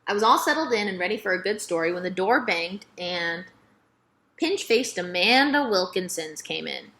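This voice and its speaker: A woman narrating a children's novel aloud in an expressive, emphatic storytelling manner. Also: lowish voice, moderate pace, a little hiss or hum.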